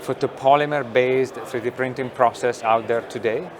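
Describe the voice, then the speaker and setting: A middle-aged man, a company founder explaining technology in an interview, thoughtful with many pauses and fillers. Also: mid-range voice, steady tone, a crowd in the background. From Italy. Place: trade show booth studio.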